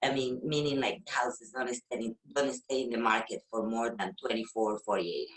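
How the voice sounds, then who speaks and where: A Venezuelan woman, a real estate agent whose first language is Spanish, talking casually in an interview with many fillers and pauses. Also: a bass voice, steady tone, reverberant, poor audio. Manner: hesitant, with frequent fillers and laughs.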